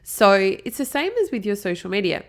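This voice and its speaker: An Australian woman in her thirties hosts her own podcast, her voice mid-pitched and a little stuffy from a blocked nose. She talks in an upbeat, chatty way and happily drifts off on small tangents before coming back to her point. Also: very animated, a little fast. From South Australia. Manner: chatty, upbeat, prone to digressions. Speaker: podcast host. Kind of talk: solo marketing podcast talk.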